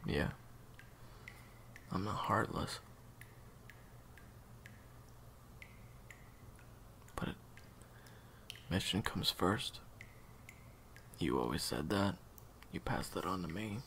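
A man's voice: sits low, expressive, slow pace, gravelly, pauses often, pushed and bright, slight background noise, full character voice. A man playing a weary, conflicted assassin, cold but hurting, in an audio roleplay drama. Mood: weary, resigned.

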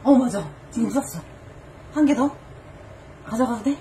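Middle-aged woman, Busan accent, teasing and laughing, very lively pitch, room ambience audible. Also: normal speed, a little hiss or hum. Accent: Busan Korean. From Seoul. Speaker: vlogger's mother. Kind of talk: casual banter.

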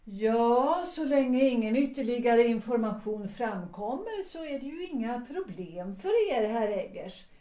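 Woman playing a proud, mellow-voiced widow, echoey room. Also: fairly high pitch, expressive, close to an everyday voice. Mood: coolly bargaining. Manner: formal, deliberate, coolly insistent. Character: proud, shrewd widowed mother, coolly commanding. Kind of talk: Swedish radio drama dialogue.

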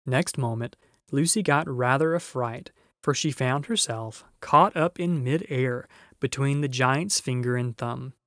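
A man narrating a children's story as an audiobook reader, in an expressive storytelling style. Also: mid-range voice, a little slow, dry recording, clean studio sound.

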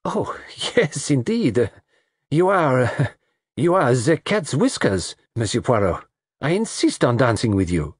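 A man's voice: lowish voice, huge pitch swings, normal speed, husky, no room sound, studio quality, pitched above the performer's own voice, heavily acted. A man doing a gushing, flirty Latin dancer. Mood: delighted. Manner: gushing and laughing.

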